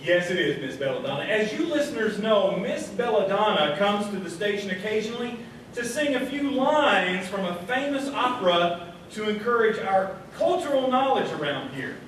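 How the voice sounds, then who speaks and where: A man's voice: sits high, expressive, normal speed, a bit bright. A man playing a genial, courteous small-town radio announcer, introducing acts in a folksy way in a radio theatre show. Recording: distant, very echoey stage, faint noise.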